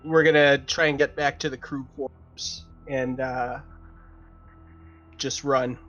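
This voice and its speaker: A man's voice: medium pitch, flat delivery, slow pace, fairly bright tone. A man chatting casually as a player in a tabletop game, hesitant and questioning. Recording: slightly dull audio, music underneath.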